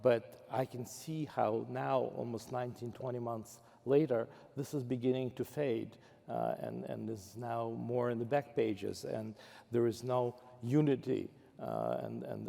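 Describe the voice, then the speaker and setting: Very gravelly mellow older man moderating. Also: mid-range voice, steady tone, unhurried. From Odessa, Ukraine. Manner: hesitant, stop-and-start phrasing. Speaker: interviewer, moderator. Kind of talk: on-stage interview. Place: large hall at a summit. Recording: reverberant hall.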